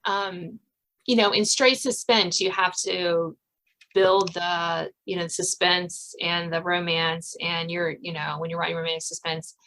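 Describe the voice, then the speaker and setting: Bright-voiced woman hosting a podcast. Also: fairly low pitch, little pitch movement, unhurried, low-quality recording. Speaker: podcast host. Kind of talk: casual interview chat.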